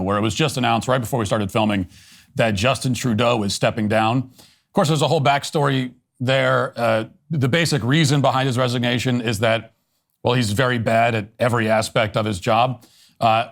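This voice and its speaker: A man's voice: medium pitch, gravelly. A man hosting a political commentary show, delivering a scripted monologue in a sardonic, emphatic way. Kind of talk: read ad endorsement.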